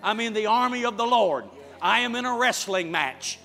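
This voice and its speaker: A middle-aged male pastor preaching and praying fervently before his congregation, building to loud prayer. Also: high-pitched, animated, moderate pace. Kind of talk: sermon and prayer. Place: large church sanctuary with congregation. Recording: church hall with music behind.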